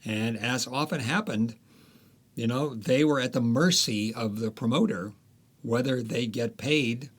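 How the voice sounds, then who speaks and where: A man, an author being interviewed, talking through history in a relaxed, unhurried way with frequent fillers and restarts. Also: medium pitch. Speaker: author guest.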